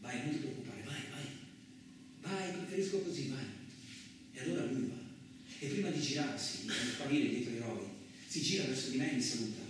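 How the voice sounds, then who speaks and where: An actor playing a frightened, injured farm labourer, telling his story in the first person as a halting, repetitive theatrical radio monologue. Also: medium pitch, background noise, close to an everyday voice. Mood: hushed, fearful. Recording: very echoey, distant, loud music behind. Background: music.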